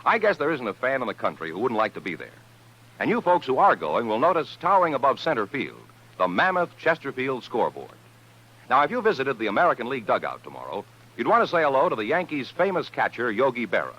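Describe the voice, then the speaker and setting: A man's voice: medium pitch, sing-song, fast talker, bright, forceful tone, slightly rough, dull audio. A man announcing a 1950s radio commercial in a punchy, emphatic salesman's delivery. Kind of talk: 1950s cigarette radio ad read. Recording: vintage radio broadcast recording.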